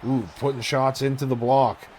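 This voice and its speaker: A man giving play-by-play commentary on video game fights, calling each strike quickly and casually. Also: medium pitch, steady tone, darkish tone, slight background noise. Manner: rapid blow-by-blow calling.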